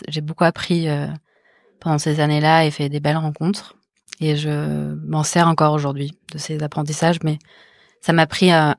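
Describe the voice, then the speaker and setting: A woman in her thirties, a visual artist, thinks aloud as a podcast guest. Her voice sits low and stays flat. She pauses often while she searches for words, and the sound is dry and close. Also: dry recording. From born in the Netherlands, raised in Marseille. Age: 30s. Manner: many fillers and restarts, soft and reflective. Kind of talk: hesitant interview talk.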